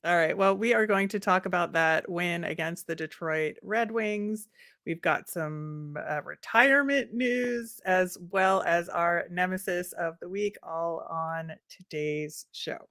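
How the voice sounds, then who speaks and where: A woman co-hosts a sports podcast in a casual, conversational way. Her voice sits fairly low for a woman. The sound is dry, with no room echo. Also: a little slow, a bit bright.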